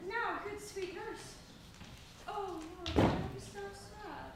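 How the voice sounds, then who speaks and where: A woman's voice: extremely high pitch, takes it slowly, vocal fry, heavily acted. A woman playing an impatient, lovestruck young girl in a Shakespeare play, pleading theatrically. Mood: eager, pleading. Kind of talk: amateur Shakespeare play rehearsal. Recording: very echoey, noisy room, distant mic.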